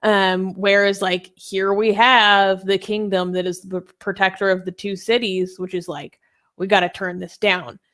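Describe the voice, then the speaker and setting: A woman's voice: mid-range voice, level tone, measured pace, fairly bright tone. Casual woman panelist with a mid-range voice. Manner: informal, peppered with "like". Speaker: panelist on a discussion show.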